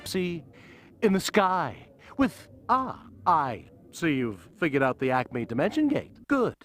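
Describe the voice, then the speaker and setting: A man's voice: a little high, big pitch swings, slow pace, a bit bright, soft background music, full character voice. A man voicing a pompous, centuries-old alien emissary in a cartoon game, speaking portentously. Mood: grandly portentous. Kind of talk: cartoon video game acting.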